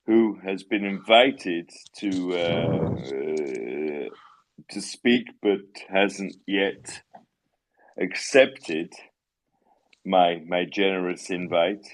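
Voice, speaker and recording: A man with a lowish voice hosts a live online audio chat at a slow, unhurried pace. He stumbles through restarts and fillers, sounding apologetic with a wry edge. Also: poor audio. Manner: halting, many restarts and fillers. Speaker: AMA host. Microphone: online audio call.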